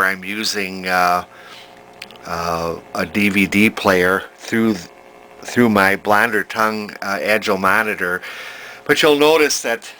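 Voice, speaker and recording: An older man giving a casual, unscripted hobbyist demonstration, talking in a folksy, halting way as he thinks aloud. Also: lowish voice, sharp tone, slight background noise, low-quality recording. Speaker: hobbyist demonstrating a vintage television he repairs.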